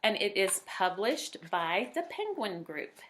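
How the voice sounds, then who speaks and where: A woman reads a picture book aloud in a mid-pitched voice. She is an expressive storyteller who leans on key words for drama and stretches some of them out. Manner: dramatic emphasis with drawn-out words.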